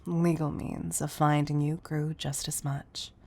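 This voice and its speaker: A woman playing a wealthy, obsessive CEO in an intimate audio roleplay, speaking in a breathy, gravelly murmur that is affectionate and confessional. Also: low voice, little pitch movement, a little slow, darkish tone. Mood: confiding, wistful. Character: wealthy obsessive female CEO, tender yet controlling. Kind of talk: intimate audio roleplay monologue, partly whispered.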